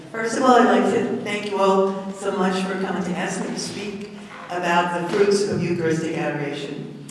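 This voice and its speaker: A woman's voice: fairly low pitch, a little hiss or hum. A woman in her eighties with a Brooklyn accent, telling stories as a guest speaker and laughing as she goes. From Brooklyn, New York. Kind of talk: storytelling talk. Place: library hall. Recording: echoey hall, distant from the mic.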